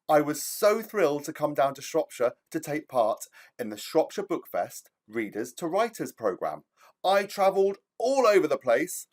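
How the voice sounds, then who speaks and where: A man, a children's author, speaking to young children in an enthusiastic, theatrical storytelling style with emphatic delivery. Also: fairly high pitch.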